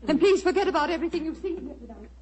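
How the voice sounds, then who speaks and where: A woman's voice: sits high, lively intonation, rapid-fire. An actress playing an anxious, secretive, polite well-to-do woman in a 1940s radio drama. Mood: polite, guarded. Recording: faintly noisy vintage radio recording.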